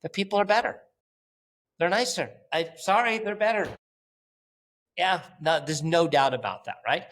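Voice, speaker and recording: A male company founder speaks as a podcast guest. He is blunt and animated and swears casually as he talks. He acts out bits of dialogue with other people as he tells his stories. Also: sits high, normal speed, long pauses. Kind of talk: casual interview.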